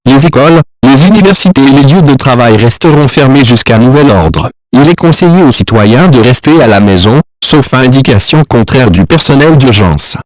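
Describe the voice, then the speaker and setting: A man reading a scripted emergency broadcast in a formal, measured manner. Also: mid-range voice, animated, dry recording, rough recording.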